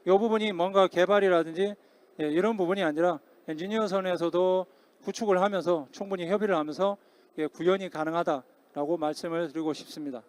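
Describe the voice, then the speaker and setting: High-pitched, clear-voiced man answering formally. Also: steady tone, fairly quick. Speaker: conference speaker, security company technical director answering questions. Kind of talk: formal Q&A after a presentation. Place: conference hall stage, amplified talk.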